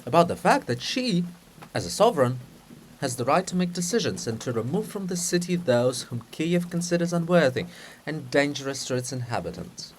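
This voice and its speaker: Guy doing a fussy scheming statue statesman from a play, wheedly, kinda high voice, pitch jumps all over. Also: big pitch swings, normal speed, slight background noise, low-quality recording. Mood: scheming, conspiratorial. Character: sly, fussing, scheming male statue of a statesman. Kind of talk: dramatic play reading.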